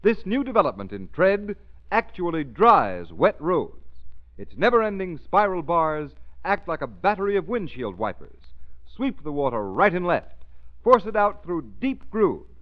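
A man's voice: high voice, sing-song, measured pace. A man announcing a 1930s radio commercial with emphatic, declamatory delivery. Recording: muffled old radio recording.

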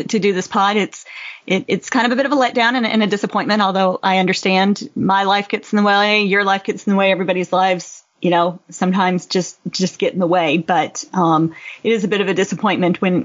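A woman co-hosting a podcast in a casual, slightly halting way. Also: medium pitch. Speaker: podcast co-host. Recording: dull, muffled lo-fi audio.

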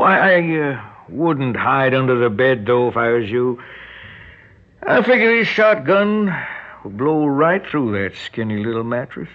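An actor playing a menacing, folksy rural American man in a radio drama, taunting with a mocking drawl. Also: medium pitch. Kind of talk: 1960s radio drama acting. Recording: dull old radio drama recording.

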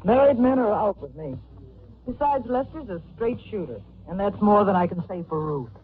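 An actress playing a frank young secretary with a blunt, knowing manner in an old-time radio drama. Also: female voice, mid-range voice, lively intonation, normal speed, breathy edge. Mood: tart, knowing. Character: frank, tart young single female secretary. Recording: tinny, hissy old radio recording.

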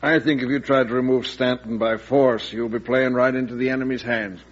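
An actor playing a cautious, loyal army general in a classic radio drama, advising an old friend frankly and respectfully. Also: male voice, mid-range voice, fairly even intonation, fairly quick, slightly rough, natural voice. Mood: cautioning. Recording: muffled old radio recording.

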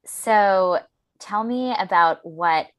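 A woman interviewer asking calm, measured questions about a guest's experiences. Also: medium pitch, no echo.

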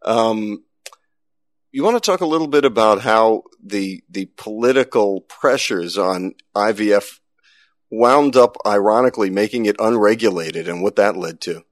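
A man hosting a podcast and interviewing in a clear, conversational way. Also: lowish voice, normal speed, dry recording. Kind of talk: scripted intro and conversational interview.

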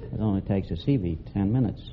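A man's voice: deep voice, fast talker. An American man, a plain-spoken serviceman, talking as a contestant on a quiz show. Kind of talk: anecdote telling on a quiz show. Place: stage before a live studio audience. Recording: dull vintage radio recording, faint noise.

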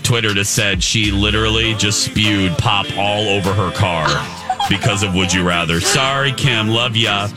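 A man's voice: lowish voice, normal speed, over loud music. A man in his forties hosting a morning radio show, joking and laughing with his co-hosts. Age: 40s. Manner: jokey, laughs a lot.

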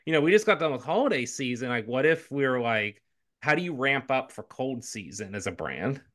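Bright-voiced upbeat male podcast co-host. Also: mid-range voice, lively intonation, fairly quick. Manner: upbeat, chatty, lots of fillers. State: slightly nasal from allergies. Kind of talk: casual podcast chat.

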